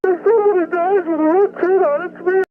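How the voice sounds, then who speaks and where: A man's voice: extremely high pitch, steady tone, brisk, no room sound. A man crying as he talks, with a whiny, weepy voice, pleading and rambling remorsefully on a call to an emergency line. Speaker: anonymous caller to emergency line. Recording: tinny old phone-line recording with noise.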